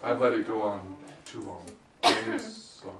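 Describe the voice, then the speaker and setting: A man's voice: lowish voice, sing-song, a little slow, fairly bright tone, full character voice. A man playing a brooding, cold young vampire in a comedic live reading of a romance script. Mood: cold parting. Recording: distant mic in echoey room, audience noise.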